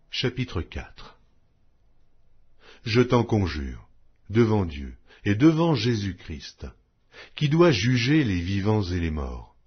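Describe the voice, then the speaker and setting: A man reading scripture from a script as an audio Bible narrator, in a solemn, declamatory manner with measured pauses. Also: on the low side, husky, muffled recording.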